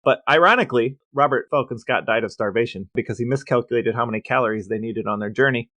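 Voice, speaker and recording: A man's voice: medium pitch, fast talker, fairly bright tone, no echo. A man co-hosting a podcast, relaying researched facts in a dry, matter-of-fact way. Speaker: podcast co-host. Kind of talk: informative podcast talk, reading out researched facts.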